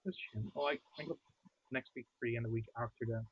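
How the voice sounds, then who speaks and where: A man co-hosting a card game stream over a remote call, with a husky voice, talking things through with hesitant restarts. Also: medium pitch, steady tone, quick pace. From outside the United States. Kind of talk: casual post-game chat. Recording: dry, tinny call-quality audio.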